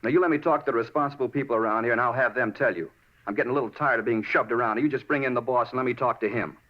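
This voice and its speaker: An edgy, stubborn American murder suspect, acted by a man, with a medium-pitched voice. He talks quickly, touchy and insistent, in 1950s radio drama style. The recording is muffled and old. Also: lightly acted. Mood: indignant, stubborn. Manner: insistent, irritable.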